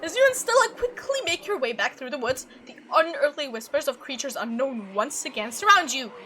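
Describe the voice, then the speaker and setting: A woman with a high, bright voice narrates a game script aloud. Her delivery is dramatic and expressive, like a creator playing through a story for viewers. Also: brisk, a little hiss or hum. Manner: expressive, reacts aloud while reading. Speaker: gaming video creator reading a visual novel aloud.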